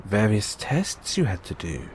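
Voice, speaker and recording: A man playing a gentle, caring android owner in an intimate one-sided audio roleplay, coaxing and inquisitive, with long pauses. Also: on the low side, huge pitch swings, a little hiss or hum. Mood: curious.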